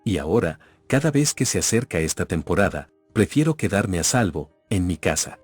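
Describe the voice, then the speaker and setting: A man tells horror stories from a script. His voice is very low and noticeably husky, and he keeps a measured, ominous storytelling manner. The recording is very dry and close. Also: sing-song, dry recording. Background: background music.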